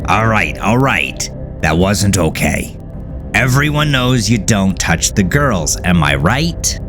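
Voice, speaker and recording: A man's voice: fairly low pitch, very animated, slow, music in the background, pitched above the performer's own voice. A man voicing a crude, showy old strip club emcee working the microphone in a story. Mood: brash, scolding. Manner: brash showman patter on a microphone.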